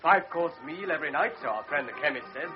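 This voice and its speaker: A man's voice: a little high, lively intonation, quick pace, lightly acted. A man playing a mocking fellow officer. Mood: teasing. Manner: mocking, jovial. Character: teasing fellow army officer. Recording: dull old radio recording with music.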